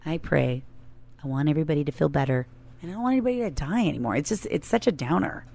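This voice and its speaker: A woman in her late thirties co-hosting a podcast in casual, chatty banter with her spouse, informal and quick to laugh. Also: sits low, lively intonation, gravelly, warm tone. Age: late 30s. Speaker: podcast co-host.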